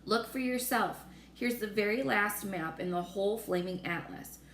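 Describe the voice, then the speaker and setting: A woman's voice: mid-range voice, normal speed, fairly bright tone, lightly acted. A woman voicing a frightened, exasperated male air force chief from a storybook, agitated and exclaiming. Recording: roomy echo with faint noise.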